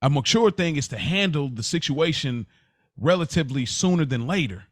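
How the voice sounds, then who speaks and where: A man's voice: on the high side, expressive, moderate pace, dry recording, clean studio sound. An American man co-hosting a podcast, talking casually and reflectively, often repeating and rephrasing as he explains. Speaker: podcast co-host.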